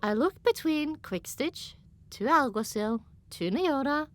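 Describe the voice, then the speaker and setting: Expressive high-voiced woman narrator. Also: slow pace, no echo, faint music behind. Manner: expressive, dramatic. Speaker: audiobook narrator. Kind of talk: scripted first-person story narration.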